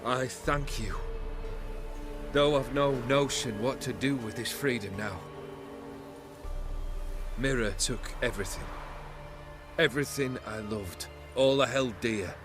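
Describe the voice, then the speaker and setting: An actor playing a weary, remorseful fallen nobleman with wry wit in a video game, speaking in a measured, theatrical, eloquent way. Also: medium pitch, over music, natural voice. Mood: grieving, bitter. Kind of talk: dramatic video game acting.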